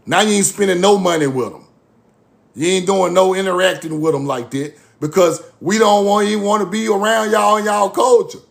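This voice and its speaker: A man's voice: high-pitched, creaky. A man giving a fiery informal lecture, blunt, repetitive and profane.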